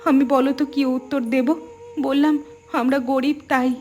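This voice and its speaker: A woman's voice: sits high, little pitch movement, a little slow, darkish tone, a little hiss or hum. A woman voicing the worried, hurt wife of a poor fisherman in a cartoon, plaintive. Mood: anxious. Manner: aggrieved, anxious, plaintive. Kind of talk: scripted cartoon dialogue.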